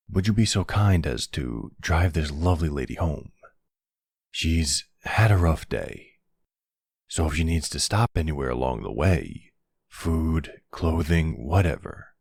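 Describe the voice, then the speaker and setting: Man playing a very deep, gravelly, charming mafia boss. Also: lively intonation, slow pace, a little mellow, no room sound, studio quality, heavily acted. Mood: courteous, commanding. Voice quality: gravelly, husky.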